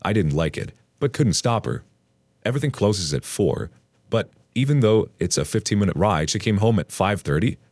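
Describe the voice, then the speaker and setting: A man reading an online post aloud as a storytelling narrator, in an expressive read. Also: fairly low pitch, normal speed, no room sound.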